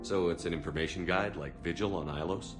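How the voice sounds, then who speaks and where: A resolute male commander played by an actor in a sci-fi game, speaking low and gruff. He talks briskly and confidently, with music playing clearly behind him. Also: natural voice. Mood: matter-of-fact. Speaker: squad commander. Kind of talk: video game cutscene acting.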